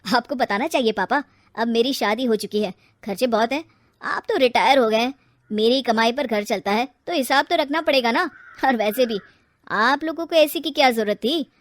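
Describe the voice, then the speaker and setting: A woman voicing a firm young married son who scolds his parents, in a lowered voice. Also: moderate pace, dry recording, lightly acted. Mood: scolding.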